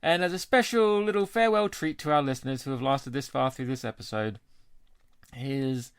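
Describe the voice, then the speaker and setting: A man's voice: medium pitch, normal speed, clear, resonant tone, dry recording, clean studio sound. Jovial British man, podcast co-host. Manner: jovial, matey. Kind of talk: casual, jokey chat.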